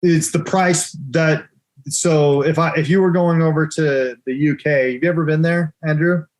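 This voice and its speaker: A man's voice: fairly high pitch, fairly even intonation, moderate pace. A man hosting a live currency trading room, explaining chart moves in a casual, joking way and laughing often.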